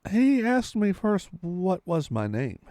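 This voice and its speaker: A man's voice: high-pitched, sing-song, slow, warm tone, dry recording, clean studio sound. A man reading a storybook aloud with dramatic, theatrical delivery, sometimes stumbling and correcting himself.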